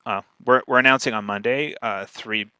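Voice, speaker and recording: A man's voice: medium pitch, fairly even intonation, moderate pace, sharp tone, smooth, no echo. A man speaking as a podcast strategist in an informal question-and-answer talk, emphatic and opinionated.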